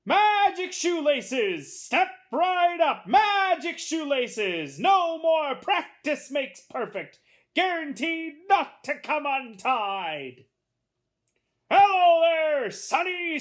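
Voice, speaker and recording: A woman voicing a showy male street salesman of magic shoelaces in a storybook, with drawn-out, sing-song hawker's patter. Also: high voice, very animated, pitched above the performer's own voice, exaggerated voice. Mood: showy, eager.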